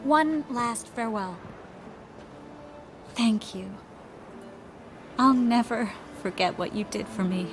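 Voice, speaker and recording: Highish voiced sorceress lady, proud and resolute but tender, game cutscene with music behind. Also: animated, slow pace, pauses often. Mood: wistful, resigned. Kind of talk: video game cutscene dialogue.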